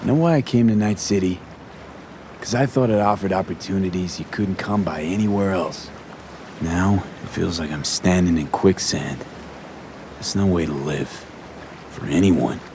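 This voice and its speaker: Deep-voiced guarded young mercenary. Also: male voice, normal speed, background noise. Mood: earnest, subdued. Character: young male mercenary in a cyberpunk city, guarded, blunt. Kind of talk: video game voice acting.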